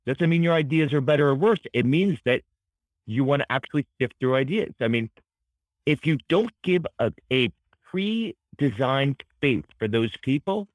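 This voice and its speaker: A high-energy male expert guest with a mid-range voice and a dry, close sound. He explains concepts conversationally, articulate and emphatic, like an educator in an interview. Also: expressive, normal speed, dry recording, low-quality recording. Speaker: podcast guest, author and psychology educator.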